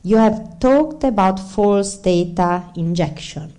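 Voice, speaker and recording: A woman's voice: mid-range voice, animated, slow, dark tone. A woman with an Italian accent, a native Italian speaker, carefully asking a doctoral candidate questions as the opponent at a thesis defense. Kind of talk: academic questioning at a PhD defense.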